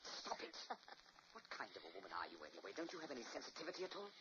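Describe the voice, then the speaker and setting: A man's voice: mid-range voice, wildly swooping pitch, racing. An actor playing a nervous, tormented married man who stammers and protests, in an old radio drama. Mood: defensive. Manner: flustered, stammering, voice rising in protest. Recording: dull old radio recording with hiss.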